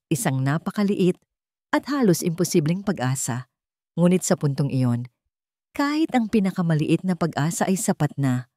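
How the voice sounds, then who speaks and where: A woman's voice: sits low, dark tone, no room sound, studio quality. A woman narrating a scripted story in a solemn, dramatic storytelling manner.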